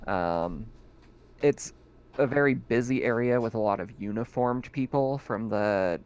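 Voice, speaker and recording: A man's voice: mid-range voice, unhurried, darkish tone, clean tone. A man running a tabletop game as game master, talking loosely with plenty of ums and restarts. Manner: loose and chatty, many fillers and restarts.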